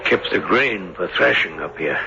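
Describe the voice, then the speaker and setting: An actor playing a driven, anguished man searching a haunted mill in a radio drama, halting and earnest. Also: low-pitched, lively intonation, creaky. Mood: tense, driven. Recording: dull, faintly noisy old radio recording.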